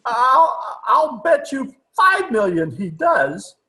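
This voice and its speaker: Stuffy elderly male judge for radio comedy, played by a man: slow, bright, forceful, pompous and obstinate. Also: extremely high pitch, huge pitch swings, heavily acted. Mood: flustered, defiant. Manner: formal, pompous wording. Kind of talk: old-time radio comedy acting. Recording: online video-call recording.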